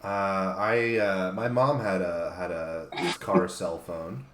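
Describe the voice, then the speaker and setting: Male co-host, fairly deep, informal conversation, roomy echoing sound. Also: steady tone, slow pace, noisy background. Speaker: talk show co-host. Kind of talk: casual chat. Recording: echoey room sound.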